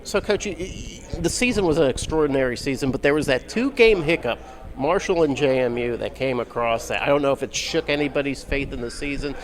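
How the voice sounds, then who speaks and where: A man's voice: medium pitch, lively intonation, a little fast, low-quality recording. A man co-hosting a podcast, asking teasing questions at a media day event. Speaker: podcast co-host. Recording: crowded event noise behind.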